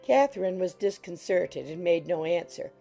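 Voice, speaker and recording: A woman narrates an audiobook from a script. Her voice is mellow and fairly low, with a creaky edge. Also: lively intonation, normal speed, soft background music.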